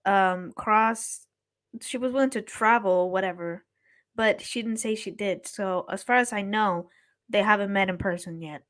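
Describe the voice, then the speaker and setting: A woman's voice: mid-range voice, a little slow, fairly bright tone. A woman hosting a live stream, chatting casually and laughing often while she talks to her chat. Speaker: commentary streamer host.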